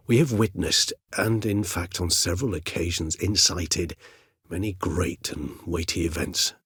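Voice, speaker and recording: A man's voice: deep voice, slightly rough, no echo, clean studio sound, full character voice. A male actor playing a courteous, erudite and melancholy ancient vampire in a video game, speaking formally and eloquently with a wry, philosophical turn. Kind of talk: video game character dialogue.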